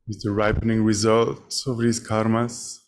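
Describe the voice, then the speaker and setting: A man leading a guided meditation as a teacher, speaking in short, measured phrases with long pauses between them. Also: lowish voice, level tone. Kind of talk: guided meditation, spoken instruction.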